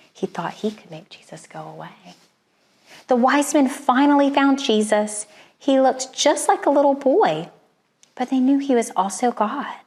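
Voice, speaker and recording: A woman's voice: mid-range voice, a little mellow, husky. Woman reading a children's storybook expressively. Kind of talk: expressive read-aloud children's storybook narration.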